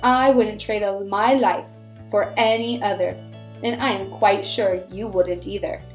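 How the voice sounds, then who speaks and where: A woman's voice: medium pitch, steady tone, unhurried, soft background music, voice pushed down, close to an everyday voice. A woman voicing a wise, kindly male walrus in a storybook, formal, eloquent and reassuring. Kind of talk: storybook read-aloud.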